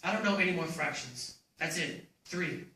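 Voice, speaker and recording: A man's voice: a little high, normal speed, pushed and bright. A man playing a well-meaning, hapless father in a readers theater performance. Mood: coaxing, firm. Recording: very echoey room, distant mic, faint noise.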